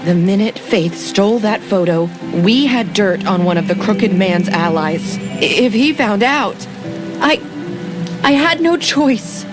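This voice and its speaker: A guilt-ridden young woman , voiced by an actress, talks haltingly and pleads, with restarts. Loud music plays under her in the game audio. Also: mid-range voice, expressive, natural voice. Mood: confessing.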